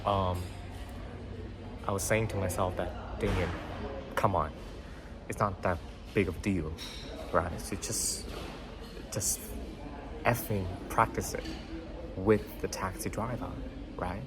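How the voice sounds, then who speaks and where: A man's voice: sits low, fairly even intonation, takes it slowly, pauses often, background noise. A young man whose first language is Vietnamese, hosting a podcast and talking casually through a motivational story, full of fillers and "right?" tag questions. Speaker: podcast host. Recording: background music under voice. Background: music.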